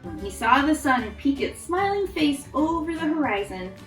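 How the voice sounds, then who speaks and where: High, animated woman reading to children. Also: normal speed, echoey room, recorded from a distance, faint music behind. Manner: encouraging, expressive. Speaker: storytime host reading picture books. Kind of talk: animated read-aloud to children.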